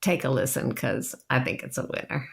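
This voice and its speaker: A woman's voice: rumbling low, moderate pace, gravelly. A woman from Texas co-hosting a podcast, casually discussing music. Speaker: podcast co-host.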